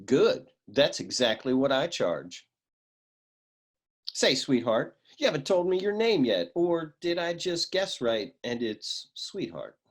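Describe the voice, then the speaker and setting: Male actor as wisecracking noir gumshoe: husky, very animated pitch, slangy deadpan tough-guy lines for radio comedy. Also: slow pace. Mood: cocky. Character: wisecracking hardboiled male private eye. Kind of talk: humorous noir radio serial acting.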